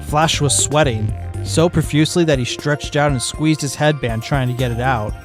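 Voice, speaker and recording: A man narrating a fan fiction story with expressive storytelling. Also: a little high, normal speed. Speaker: fanfic reader. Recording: music bed behind voice.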